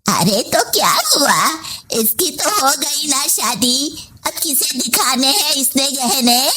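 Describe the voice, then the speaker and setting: Woman doing a bossy older saas in a family story, really slow nagging, high pitched. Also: lively intonation, pushed and bright, soft background music, exaggerated voice. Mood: dismissive. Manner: scolding, complaining, drawn-out. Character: domineering, demanding older mother-in-law, sharp-tongued and partial. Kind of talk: story voice acting.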